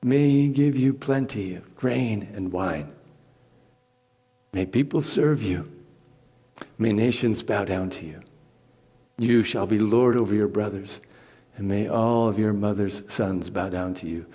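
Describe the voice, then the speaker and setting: A man playing a solemn old blind father who gives slow, weighty blessings in a dramatic scripture reading. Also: fairly low pitch, mellow, husky, pitched below the performer's own voice. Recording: reverberant church hall.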